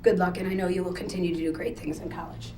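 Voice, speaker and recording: Woman, formal award remarks, rapid delivery, distant echoey hall. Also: on the low side, fairly even intonation. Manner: quick, formal congratulations. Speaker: award presenter. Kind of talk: ceremony remarks. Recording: echoey hall from far off, faint noise.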